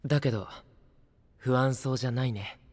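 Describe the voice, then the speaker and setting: An actor playing a gentle, courteous young companion and ceremony officiant in an anime-style voice drama. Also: male voice, medium pitch, moderate pace, big-room sound, lightly acted. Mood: gentle, supportive. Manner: soft-spoken, polite, formal when officiating.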